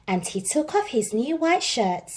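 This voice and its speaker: A woman reading a children's picture book aloud as an expressive storyteller. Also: sits high, measured pace.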